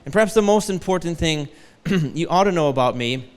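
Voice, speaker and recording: A man's voice: fairly high pitch, lively intonation, normal speed, long reverb tail. A man in his forties from Detroit, a guest preacher giving a sermon with a light, thin voice and a joking, self-deprecating manner. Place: large church hall.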